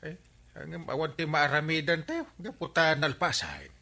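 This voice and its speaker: A man's voice: a little high, animated, moderate pace, vocal fry, pushed and bright, exaggerated voice. A man with an Ilocano Filipino accent playing a folksy, exasperated village captain in a radio comedy drama, with hesitant interjections. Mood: resigned.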